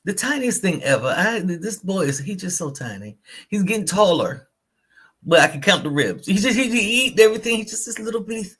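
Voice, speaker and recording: A woman co-hosting a spiritual live show, chatting casually and telling stories in a lively, animated way. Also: mid-range voice, brisk, slightly rough. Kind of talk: casual live-stream chat.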